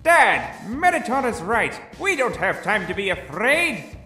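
An earnest cartoon skeleton voiced by a man, high and very animated, bright and emphatic. He sounds encouraging, ringing in an echoey hall. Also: measured pace, full character voice. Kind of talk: animated comic dub acting. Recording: echoey hall reverb, faint music.

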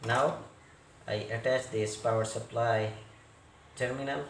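Male repair technician, tutorial read: slow, halting non-native phrasing, echoey room with noise. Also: medium pitch, fairly even intonation. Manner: halting, fragmented instructional delivery with non-native English phrasing. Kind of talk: step-by-step hands-on repair tutorial. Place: indoor repair workbench. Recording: roomy echo, clear background noise.

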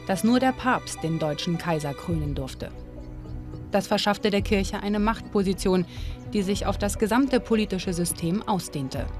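A woman giving calm, measured scripted narration for a documentary. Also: medium pitch. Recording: studio voice-over with clear music underneath.

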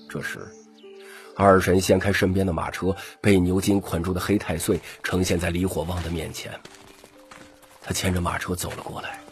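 一名男性有声书播讲者，以戏剧化的方式照稿讲述故事。. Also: male voice, deep voice. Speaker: audiobook narrator. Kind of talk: scripted dramatic story narration.

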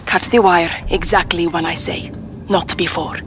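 A woman's voice: fairly low pitch, steady tone, moderate pace, lightly acted. A woman playing a resolute young rebel commander in a video game, giving terse, commanding lines. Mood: urgent, commanding. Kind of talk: scripted video game acting. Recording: music behind the voice.

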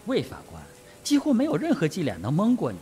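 A man's voice: high-pitched, wildly swooping pitch, fast, dark tone, soft background music. A man playing a sardonic, self-possessed colonel in a Mandarin radio mystery, with a mocking, provocative manner. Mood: coolly probing. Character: sardonic, self-possessed colonel suspected of murder, needling the others.

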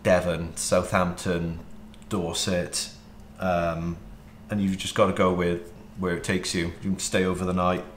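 A Welsh man in his thirties, a wedding videographer, answering interview questions in a relaxed way with frequent ums. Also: low voice, measured pace, hardly any pauses, echoey room, a little hiss or hum. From Wales. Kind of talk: casual interview. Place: home studio office, indoors.

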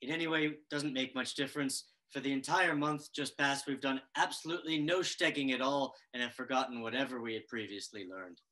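A man's voice: medium pitch, steady tone, brisk, few pauses, bright, forceful tone, natural voice, rough recording. A young man playing a cheeky, idle yeshiva student in a comic play reading on a video call, mock-solemn in manner. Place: indoor room, on video call. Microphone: shared video-call microphone.

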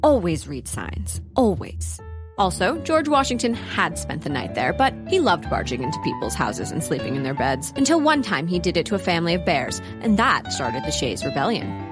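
A woman's voice: lowish voice, sing-song, normal speed. A woman narrating a comic scripted story with a wry storybook delivery. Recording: music bed under narration.

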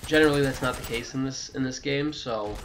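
A man's voice: medium pitch, brisk, noisy background. A man giving a casual video game walkthrough, rambling and correcting himself, with jokey asides to the game. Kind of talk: casual gameplay walkthrough commentary.

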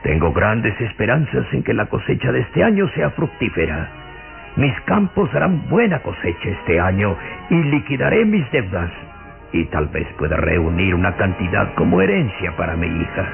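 An actor playing an elderly, impoverished duke and anxious father in a radio drama, solemn and deliberate. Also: fairly low pitch, big pitch swings, creaky, over music. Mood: weary, grateful. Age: older. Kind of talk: old radio drama acting. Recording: dull lo-fi old radio recording.

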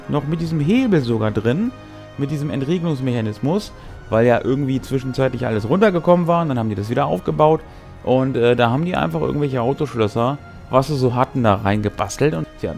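A man in his thirties talks as a game master, explaining behind-the-scenes details to the camera. His voice is mid-pitched with plenty of creak, and soft music plays behind him in a small room. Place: small indoor room with brick wall.

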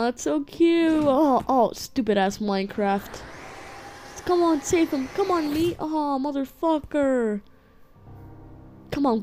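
A woman's voice: high-pitched, dark tone. A woman improvising a comedic amateur voice-over for an animation, rambling, repeating herself and swearing.

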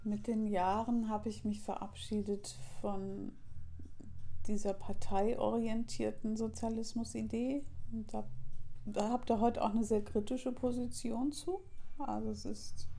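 A woman in her fifties talks candidly and reflectively about her memories. Her voice is gravelly and mellow, with a dark warmth. She is recorded close on a lapel mic in a quiet indoor room. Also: a little high, fairly even intonation, a little slow, slight background noise. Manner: candid, thoughtful, with laughs. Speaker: guest, artist and author. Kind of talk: reflective interview talk.

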